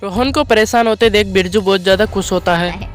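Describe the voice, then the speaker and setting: High-pitched male story narrator. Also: brisk. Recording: music behind the voice.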